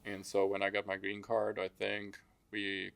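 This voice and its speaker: A man's voice: lowish voice, completely flat delivery, a little slow, creaky, a bit bright. A man from Germany with a German accent, telling personal stories casually as an interview guest. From Frankfurt, Germany. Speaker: interview guest, construction business founder.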